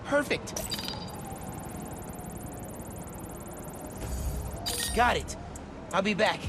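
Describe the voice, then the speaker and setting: High-voiced upbeat teen boy superhero. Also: expressive, normal speed, gravelly, background noise. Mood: focused, friendly. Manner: earnest, casual, joking. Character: upbeat teenage boy superhero, friendly and quippy. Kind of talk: video game voice acting. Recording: loud music behind the voice.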